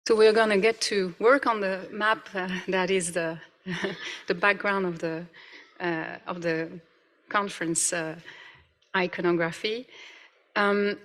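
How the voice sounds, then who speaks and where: A woman's voice: on the low side, fairly even intonation, slow, a bit bright. A woman, an English speaker, giving an academic conference talk, reading carefully with frequent "uh" fillers. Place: conference hall. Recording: reverberant hall.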